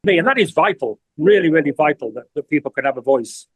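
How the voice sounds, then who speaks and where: An English man in his sixties giving an earnest interview testimonial, often pausing and repeating words as he searches for them. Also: on the high side, lively intonation, very noisy. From England. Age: 60s. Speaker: community TV producer, award honoree.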